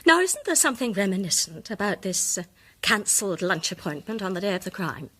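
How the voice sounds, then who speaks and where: Radio drama: self-assured woman sleuth, articulate and deliberate, theatrical reveals, slightly husky, animated pitch. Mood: confident, deliberate. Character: shrewd, self-assured woman amateur detective laying out her case. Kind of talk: British radio drama acting.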